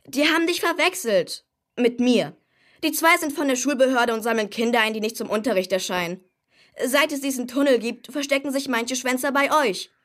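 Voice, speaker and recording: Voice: high voice, normal speed, fairly bright tone. A young performer playing a chatty, cocky boy superhero who likes to explain things, in a children's radio play. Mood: excited, explaining. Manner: breezy, eager, explanatory, casual.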